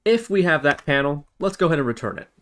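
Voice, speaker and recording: A man hosting a software tutorial, explaining methodically, step by step. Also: fairly high pitch, expressive, quick pace. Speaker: tutorial host. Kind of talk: scripted-feeling coding tutorial narration. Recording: noisy, with keyboard typing and clicks. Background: computer keyboard typing and mouse clicks.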